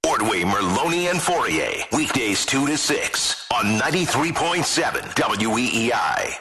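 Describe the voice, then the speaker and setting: A male station announcer with a medium-pitched voice delivers sponsor credits in promotional radio style. Loud music plays under him throughout. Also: very animated, a little slow.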